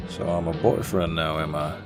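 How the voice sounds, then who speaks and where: A man acting out an easygoing Southern country boyfriend for an intimate audio roleplay. His voice is very low and he takes his time, drawling gallant, teasing, folksy lines straight to the listener. Music plays clearly behind him. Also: little pitch movement. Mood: amused, bashful. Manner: slow, gentlemanly drawl with folksy phrasing.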